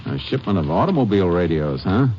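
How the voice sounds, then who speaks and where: A methodical lawman in an old radio western, his voice pitched low and unhurried. He reasons through clues in a plain, matter-of-fact way. The sound is dull and lo-fi, with a faint hiss under it. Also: big pitch swings. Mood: calm. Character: steady adult male Texas Ranger investigator. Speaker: Ranger lead and narrator. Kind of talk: 1950s radio drama acting.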